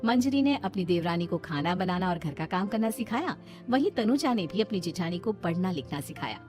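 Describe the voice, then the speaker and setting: A woman's voice: fairly low pitch, lively intonation, brisk, darkish tone, faint music behind. A woman reading a scripted moral family story as its narrator.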